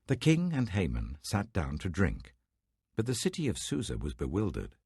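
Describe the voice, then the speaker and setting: A man reading scripture aloud in a measured, dramatic manner, close to the mic. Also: deep voice, huge pitch swings, a little mellow, breathy edge, dry recording. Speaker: Bible reader.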